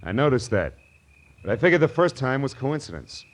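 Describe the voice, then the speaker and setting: An actor with an American accent playing a wisecracking, tough private detective in 1940s radio noir, wry and slangy. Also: male voice, medium pitch, very animated, fairly quick. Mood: cool, sardonic. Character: hard-boiled, wisecracking male private detective.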